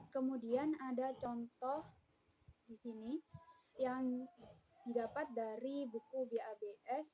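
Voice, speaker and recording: A woman's voice: on the high side, dark tone, poor audio. A young woman gives an explanatory student presentation, sometimes repeating phrases as she goes. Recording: clear background room noise.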